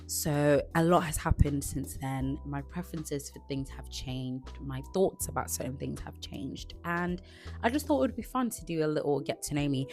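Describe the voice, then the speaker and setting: A woman of twenty-five from Zimbabwe, chatting casually to camera as a vlogger, relaxed and chatty. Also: low voice, normal speed, faint music behind. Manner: chatty and relaxed, with frequent "like" and restarts.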